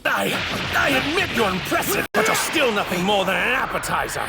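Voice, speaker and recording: An actor playing an arrogant, wrathful god in a stolen warrior's body, with haughty, theatrical, grandiose delivery in an anime dub. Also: male voice, medium pitch, big pitch swings, normal speed, loud music behind the voice. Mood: shocked fury. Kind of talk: anime dub villain acting. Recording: clearly noisy audio ripped from anime.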